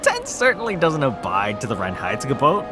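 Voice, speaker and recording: An actor playing a cheeky, tipsy, carefree brother in a noir video game, with a playful, mocking, theatrical drawl. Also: male voice, medium pitch, huge pitch swings, normal speed, music in the background. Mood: tipsy, flippant. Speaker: video game supporting character. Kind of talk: scripted visual novel voice acting.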